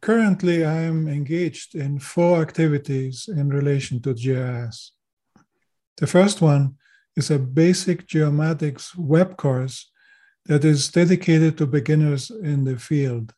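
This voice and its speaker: An older man raised in Chile, a native German speaker, telling his story in a measured, formal way as a podcast guest. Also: a little high, dark tone. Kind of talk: podcast interview.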